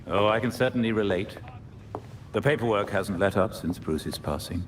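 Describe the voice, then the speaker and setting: An actor playing a polite, dignified older butler with a formal, measured manner, in a game cutscene. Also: male voice, lowish voice, music in the background, close to an everyday voice. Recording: background noise.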